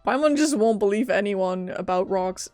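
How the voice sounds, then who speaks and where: A woman's voice: medium pitch, expressive, normal speed, a little mellow, soft background music. A woman game streamer on a close mic, giving casual, joking, reactive commentary as she plays. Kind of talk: casual live gameplay commentary.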